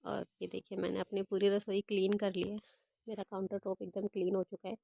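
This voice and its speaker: A young woman with a hoarse voice from a cold, chatting casually as she walks through her evening kitchen routine step by step. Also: medium pitch, level tone, fairly quick, warm tone. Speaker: home vlogger. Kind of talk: casual voice-over narration of home chores.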